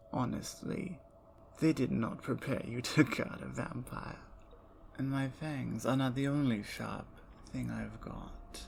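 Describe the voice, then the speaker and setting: A woman voicing a predatory, seductive female vampire in an ASMR roleplay, speaking in slow, low, teasing whispers. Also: vocal fry, a little mellow, a little hiss or hum, exaggerated voice. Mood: menacing.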